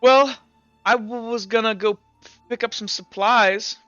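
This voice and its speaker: A man's voice: extremely high pitch, fairly even intonation, a little slow, bright, forceful tone, faint music behind, pitched above the performer's own voice, heavily acted. A young man putting on the voice of a timid young man who stammers on the first sounds of his words. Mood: shy. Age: young adult. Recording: dull lo-fi mic.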